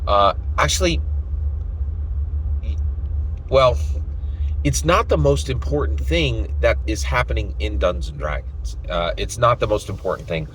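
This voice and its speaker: A man's voice: lowish voice, a little hiss or hum, poor audio. A man giving unscripted opinion commentary in an emphatic way, repeating phrases and checking his points with short questions. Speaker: tabletop gaming commentator.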